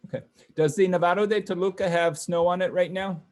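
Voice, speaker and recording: A man hosting an online session, calling on participants one by one in a relaxed, casual way with many fillers. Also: high-pitched, brisk. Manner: relaxed, friendly, lots of fillers. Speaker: host of online class session. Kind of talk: casual introductions on a video call. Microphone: video call.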